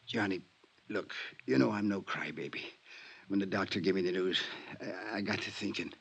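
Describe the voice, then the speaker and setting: An actor playing a dying small-time crook in a 1950s radio drama, hoarse and weak, with halting slangy tough-guy talk. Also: deep voice, full character voice. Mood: halting, urgent. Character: dying male convict, small-time grifter, resigned and wry. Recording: vintage 1950s radio recording.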